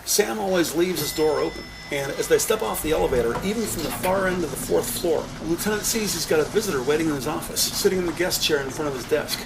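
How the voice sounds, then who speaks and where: A man narrating a crime audio drama with scripted, descriptive storytelling in a deliberate, literary manner. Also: a little high, gravelly, background noise.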